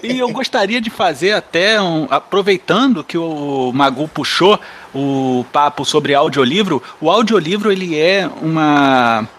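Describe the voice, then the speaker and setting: High-voiced Brazilian man chatting on a podcast. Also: a little slow, few pauses, a bit bright. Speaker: podcast panelist. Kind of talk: casual podcast chat.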